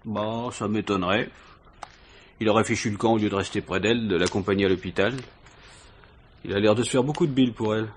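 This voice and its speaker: A man's voice: lowish voice, fairly even intonation, fast, long pauses, natural voice. Matter-of-fact police inspector played by a man, noisy recording. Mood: casual, brisk. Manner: informal, matter-of-fact questioning. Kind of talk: French radio crime drama acting. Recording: noisy vintage radio recording.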